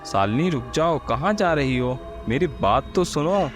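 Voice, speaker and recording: A man's voice: a little high, lively intonation, a little slow, over music, pitched above the performer's own voice. A man voicing an anxious, protective young husband and father in a horror story, speaking with earnest urgency. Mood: worried. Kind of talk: Hindi horror storybook dialogue.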